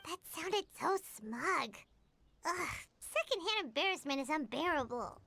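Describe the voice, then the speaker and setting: A woman voicing a small, blunt companion creature in a game, in a high, whiny, complaining voice. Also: very animated, measured pace, creaky, sharp tone, heavily acted. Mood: cringing. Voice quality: high squeaky.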